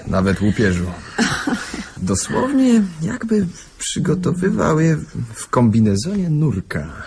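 An actor playing a dutiful junior detective with dry humour in a radio play, polite and matter-of-fact. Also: male voice, fairly high pitch, huge pitch swings, a little slow, gravelly, background noise, full character voice. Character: dutiful, dryly joking male junior detective.

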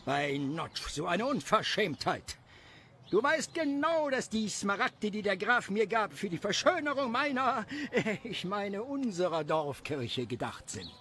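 A shrill, pompous high priest. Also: male voice, very animated, a little slow, sharp tone, slight background noise, exaggerated voice. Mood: blustering, greedy. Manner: pompous, blustering, outraged. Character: pompous, greedy, self-important village high priest. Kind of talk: cartoonish audio drama acting.

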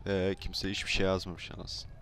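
A man's voice: deep voice, completely flat delivery, moderate pace, creaky, a bit bright, a little hiss or hum. A man bantering casually while trolling in an online roleplay game, switching between mock politeness and slang. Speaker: gaming YouTuber.

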